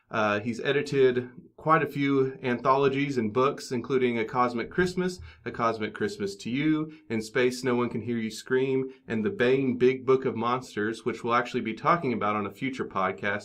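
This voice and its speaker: American guy hosting a book podcast, mid-pitched voice, dry humor, chatty intros and questions. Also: fairly bright tone. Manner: wry, dryly joking. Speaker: podcast host. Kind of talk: introducing guests and steering a round-table discussion.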